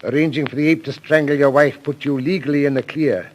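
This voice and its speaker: An actor playing a firm, accusing psychiatrist with a measured, authoritative manner in an old-time radio thriller. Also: male voice, on the high side, fairly even intonation, close to an everyday voice. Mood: stern, accusing. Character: firm, accusing male psychiatrist confronting his patient. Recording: noisy, clicking vintage radio recording.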